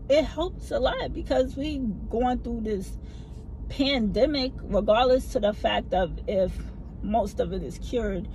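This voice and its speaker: Middle-aged woman vlogger, casual storytime from her car seat, low voice, expressive. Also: slight background noise, poor audio. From family from the American South. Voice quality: low voice that people find hard to hear. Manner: animated, talks with her hands. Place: parked car, inside. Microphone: phone camera mounted in front of her.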